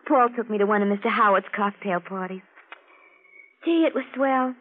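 A woman's voice: medium pitch, normal speed, natural voice. A woman playing a naive, vain young siren who mixes slang with stilted refinement in a 1940s radio drama. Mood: vain, artless. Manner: gushing slang mixed with stilted genteel phrases. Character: naive, vain working-class femme fatale putting on refinement. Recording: old lo-fi radio drama recording.

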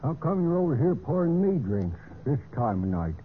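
A folksy, resentful handyman in a vintage radio mystery. His voice sits fairly high for a man, grumbling in homespun grammar, on a tinny, slightly noisy recording. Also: big pitch swings, moderate pace. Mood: suspicious, grudging. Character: resentful, folksy male caretaker fond of drink. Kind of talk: 1940s-50s radio character acting.

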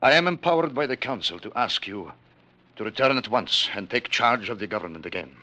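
A low male voice with a bright, forceful edge: a grave council envoy in an old radio play. He is formal and deferential, picking his words hesitantly as he presses an anxious appeal. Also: animated, brisk. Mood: deferential, nervous. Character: anxious, deferential council messenger pleading urgently. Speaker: radio actor. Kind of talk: mid-century radio drama acting.

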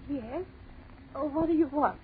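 A woman's voice: high-pitched, unhurried. An actress playing an eccentric, reclusive old woman in a 1930s radio horror drama, fussing and repeating herself. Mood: suspicious. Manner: repeats herself, fussing, brushes questions aside. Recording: scratchy, narrow-band 1930s radio recording.

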